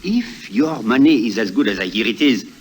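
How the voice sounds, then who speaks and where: An actor playing a shady, venerable French trader captain with a French accent and courtly, hesitant manner in a vintage radio drama. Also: male voice, medium pitch, animated, moderate pace, natural voice. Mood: suave. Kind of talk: 1950s radio drama acting. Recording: noisy vintage radio recording.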